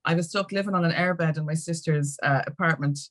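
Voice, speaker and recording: A woman's voice: low voice, brisk. An Irish woman telling her story as a podcast guest in a chatty, informal way. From Ireland. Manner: chatty and informal, with mild swearing. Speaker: podcast guest, music consultant and campaigner. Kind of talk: casual chat, personal storytelling.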